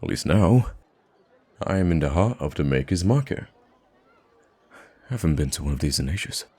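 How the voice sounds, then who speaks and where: A man playing a soft-spoken, wistful and gracious young prince in an ASMR roleplay, speaking gently and haltingly. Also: animated. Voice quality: very deep, gravelly, husky. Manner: hesitant, gentle, halting with sighs and stammers.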